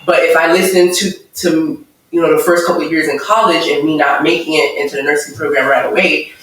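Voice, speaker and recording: A woman guest talking about her life, earnest and thoughtful, pausing between her thoughts. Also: sits low, steady tone, fairly bright tone. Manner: earnest, thoughtful, measured with pauses. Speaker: interview guest. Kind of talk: reflective personal testimony. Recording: echoey room, mic far off.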